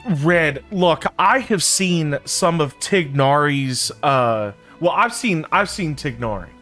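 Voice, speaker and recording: A man livestreaming a game and chatting casually with his viewers in an informal, halting, repetitive way. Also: on the high side, lively intonation, sharp tone. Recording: faint game music behind. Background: faint game music.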